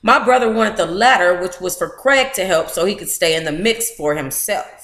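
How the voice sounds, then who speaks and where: Woman, American accent, informal book club read-aloud, playful candid asides, animated delivery, roomy echo. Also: lowish voice, normal speed. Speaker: book club host reading a memoir aloud.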